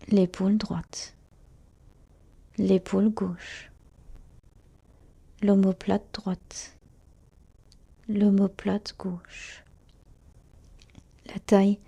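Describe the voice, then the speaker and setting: A woman guiding a relaxation meditation in a soft, breathy near-whisper, calmly and soothingly, in short phrases with long pauses. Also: medium pitch. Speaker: yoga nidra guide.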